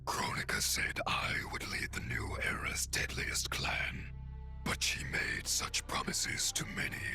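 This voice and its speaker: An actor playing a sinister, grandiose shadow wraith in a video game, speaking in an ominous breathy rasp. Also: male voice, unhurried, bright, forceful tone. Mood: menacing, grandiose. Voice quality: whispery, breathy, unvoiced rasp. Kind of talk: dramatic video game monologue. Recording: music behind the voice.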